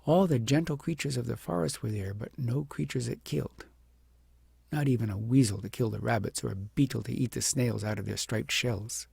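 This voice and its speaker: A man with a gravelly, husky voice narrating a storybook in a measured, deliberate and expressive way. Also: mid-range voice, dry recording. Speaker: audiobook narrator.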